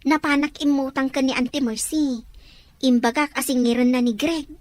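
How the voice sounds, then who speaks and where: Woman as a very high-voiced, whiny young sister. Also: steady tone, moderate pace, no echo, lightly acted. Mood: urgent. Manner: complaining, pleading. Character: whiny young student sister, work-shy and wheedling. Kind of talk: family radio-drama acting.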